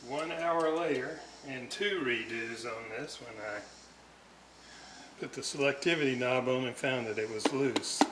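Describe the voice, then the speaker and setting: A man giving an unscripted, hands-on technical explanation in a methodical, halting way, often searching for words. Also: medium pitch, a bit bright, a little hiss or hum, low-quality recording. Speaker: radio restorer presenting repair videos.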